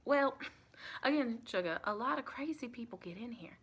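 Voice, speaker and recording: A woman playing a chatty, scatterbrained female vampire recruiter in a softly spoken ASMR roleplay, often slipping into breathy whispers. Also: fairly high pitch, expressive, moderate pace, full character voice. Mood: gently cautioning. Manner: rambling, flighty, sprinkles in pet names like sugar and sweetie. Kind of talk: ASMR roleplay, softly spoken interview with pauses for unheard replies.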